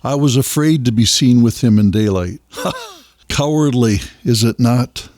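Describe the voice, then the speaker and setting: Man as gentle grave bible scholar, mid male voice, measured and reflective, dry studio sound, audio drama. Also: mid-range voice, dry recording, lightly acted.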